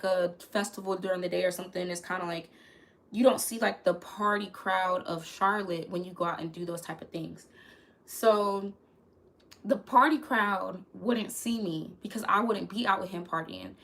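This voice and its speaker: A woman's voice: mid-range voice, normal speed, vocal fry. A young woman, telling a candid storytime straight to her viewers. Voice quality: crackly voice. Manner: chatty, candid, talks to viewers as "y'all". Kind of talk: casual storytime vlog.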